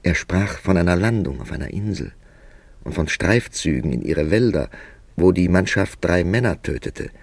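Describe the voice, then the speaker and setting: Radio play: male literary narrator, thoughtful writer, deep and creaky, dry close sound. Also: expressive, darkish tone, no room sound, heavily acted. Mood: hushed, absorbed. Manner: measured, reflective, literary. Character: Mr. Kipling, reflective male writer narrating his own story.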